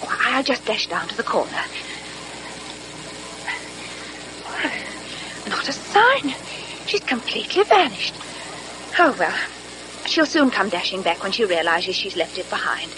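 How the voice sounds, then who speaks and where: An actress playing an eager, chatty British secretary in an old-fashioned radio drama, breezy and talkative. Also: female voice, medium pitch, big pitch swings, moderate pace, noisy background, natural voice. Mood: flustered, breezy. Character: eager, chatty, helpful young female secretary to a doctor.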